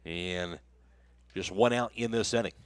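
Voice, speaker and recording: A man's voice: low-pitched, unhurried, smooth, no echo. Man calling live baseball on radio. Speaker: play-by-play announcer. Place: live outside broadcast at a baseball game.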